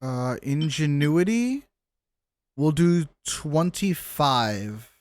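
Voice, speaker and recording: A man's voice: on the high side, big pitch swings, very slow, a little mellow, slight background noise. A man chatting casually with viewers while streaming a game, slangy and teasing, with some swearing. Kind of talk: casual chat with viewers during gameplay. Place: live stream at a gaming setup.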